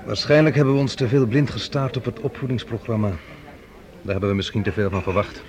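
A man's voice: fairly low pitch, lightly acted. An actor playing a breezy, chatty male colleague in radio play dialogue. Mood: concerned. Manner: chatty, teasing, brisk. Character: breezy, teasing male colleague with an eye for women. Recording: crowd murmur behind the voice.

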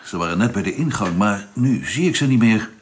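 Man doing a sceptical spaceship crew guy, radio drama, wry and lively voice. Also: lowish voice, brisk, a little hiss or hum, close to an everyday voice. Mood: puzzled. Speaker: actor. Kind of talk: radio play acting.

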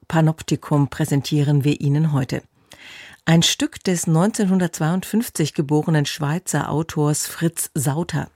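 Radio announcer, scripted introduction, husky tone, close dry studio sound. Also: mid-range voice, darkish tone, no room sound.

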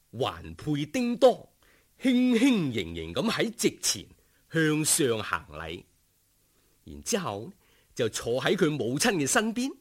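A man with a Cantonese accent narrating a folk tale as a dramatic, expressive storyteller who savours each description. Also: mid-range voice, husky.

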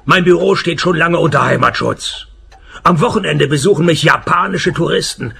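An actor playing a broke, cynical private detective in a comic noir radio play, deadpan and sardonic. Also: male voice, a little high, moderate pace, vocal fry, breathy edge, full character voice. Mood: deadpan sarcasm. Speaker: narrator and lead actor.

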